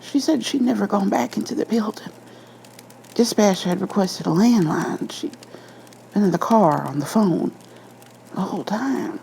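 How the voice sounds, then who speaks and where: A rattled police officer, acted by a woman, confides in a breathy, stammering voice lifted well above the narration. It is a nervous creepypasta character read, with faint noise behind. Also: mid-range voice, unhurried, pauses often, dark tone, voice pushed up, poor audio. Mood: shaken, nervous. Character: shaken, nervous police officer confessing an eerie encounter. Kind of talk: ASMR horror story character reading.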